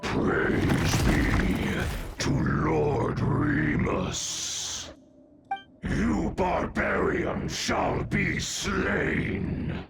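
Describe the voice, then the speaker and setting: An actor voicing an ancient, menacing guardian golem in a video game, with a gravelly, solemn voice. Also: male voice, fairly high pitch, wildly swooping pitch, drawn-out words, full character voice. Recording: loud music over the voice.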